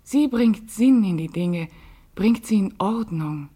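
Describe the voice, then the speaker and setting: An actress playing a fragile, alienated woman in a literary radio drama, speaking in breathy, poetic monologue. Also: mid-range voice, animated, slow pace, dark tone. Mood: awed. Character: fragile, alienated woman who feels faceless.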